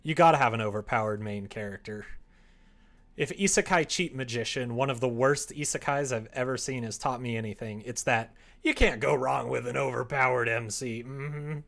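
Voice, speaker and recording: A man with a mid-pitched voice chats casually on a livestream while he writes. He thinks out loud, muttering his way through ideas in an informal, unpolished way. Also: gravelly. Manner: thinks aloud, reads typed prose slowly and haltingly. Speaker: streamer writing a visual novel. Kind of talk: casual livestream chat, murmuring draft lines while writing.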